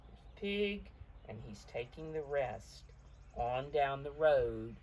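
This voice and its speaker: Low-pitched woman reading expressively to preschoolers. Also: slow pace, a little hiss or hum. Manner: expressive, explains pictures in simple words. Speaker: storybook reader for preschoolers. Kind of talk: read-aloud picture book with simple asides to young children.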